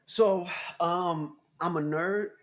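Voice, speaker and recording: An American man in his thirties, a guest musician telling stories in a casual interview, animated and laughing often. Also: extremely slow. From United States. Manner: animated, laughing, jumps into high voice for quotes.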